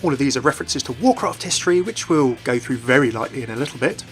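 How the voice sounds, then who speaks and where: Male gaming-video narrator, rapid and chatty, wry asides, light music bed. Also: mid-range voice, lively intonation. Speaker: video narrator and game commentator. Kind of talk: scripted explainer narration. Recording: voice-over with light background music. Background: background music.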